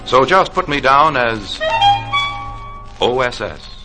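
A coy, lilting secret agent. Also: male voice, medium pitch, sing-song, slow pace, low-quality recording. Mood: coy, offhand. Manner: evasive, casual. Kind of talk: 1940s radio drama acting. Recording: vintage 1940s radio, music behind.

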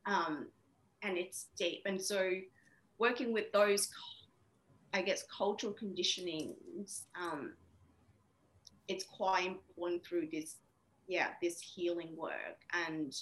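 Low-voiced woman from Vietnam, reflective. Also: fairly bright tone, poor audio. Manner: reflective, many fillers. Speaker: interview guest, women's coach. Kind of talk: casual interview.

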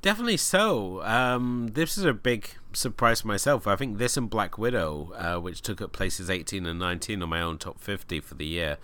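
A man with a very low voice that still sounds bright and forward. He talks about a film in an easy, enthusiastic, conversational way. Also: expressive, moderate pace, hardly any pauses, clear, resonant tone. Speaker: film review co-host. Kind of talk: casual chat.

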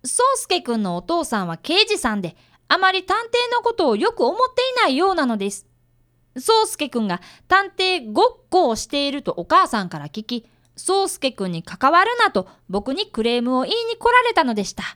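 A woman's voice: high-pitched, big pitch swings, moderate pace, fairly bright tone. A woman playing an earnest, polite fifth-grade boy who narrates and acts in a radio drama, with a touch. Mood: earnest, downcast.

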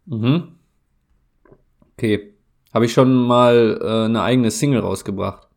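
Slow, gravelly German man. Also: mid-range voice, darkish tone. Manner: slow, hesitant, thinks aloud with many fillers. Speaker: guessing game player. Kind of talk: casual guessing game chat.

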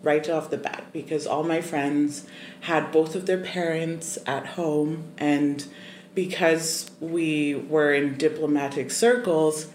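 A young adult guest from Zimbabwe, telling a personal story in a thoughtful, measured way with many pauses and ums. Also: mid-range voice, steady tone, a little mellow. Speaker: podcast guest. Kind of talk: casual interview storytelling. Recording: roomy echoey room, faint noise.

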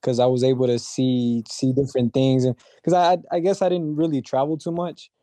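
A young man speaks as a podcast guest, soft-spoken and humble, often restarting his sentences. His voice is mellow and fairly flat, and the sound is dry and close. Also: medium pitch, a little fast, no echo. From Dallas, Texas. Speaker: guest, brand founder. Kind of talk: casual interview chat.

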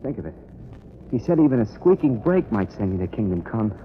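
A man's voice: fairly low pitch, big pitch swings, brisk. An actor playing an uneasy, weary ordinary city man, talking colloquially in a 1930s radio drama. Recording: tinny, noisy 1930s radio recording.

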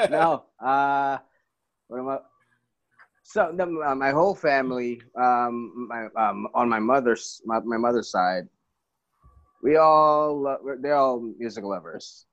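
A man from the Philippines, a musician guest, talking in a relaxed, rambling way and laughing easily. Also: mid-range voice, slow pace, muffled recording. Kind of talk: casual interview.

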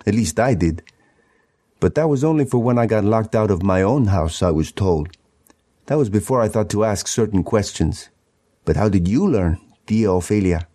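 A man reading narrative poetry aloud in a measured storytelling manner. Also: lowish voice, warm tone, dry recording, clean studio sound. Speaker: poetry reader.

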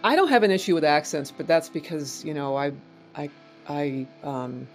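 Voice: medium pitch, lively intonation, normal speed. An eager speaker with a mid-range voice talks about films, laughing a lot and stammering as they restart sentences. Faint music runs in the background. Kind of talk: casual chat about TV and film.

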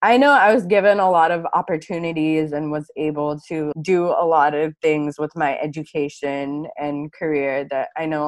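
A woman's voice: deep voice, darkish tone, no echo. A woman in her late twenties with an American accent, co-hosting a conversational podcast discussion. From India-born, grew up in the United States. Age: late 20s. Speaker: podcast co-host.

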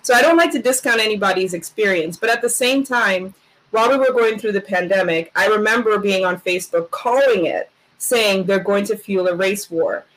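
A woman's voice: mid-range voice, normal speed, fairly bright tone, rough recording. A woman raised in the Netherlands, hosting a podcast in casual interview chat, earnest and full of fillers like "um" and "literally". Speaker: podcast host.

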